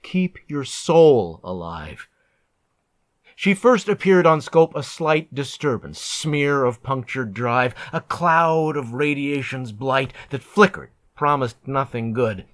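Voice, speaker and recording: A man reciting narrative poetry in a deliberate, measured, theatrical way, as a poet reading his own work. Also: mid-range voice, animated, a bit bright.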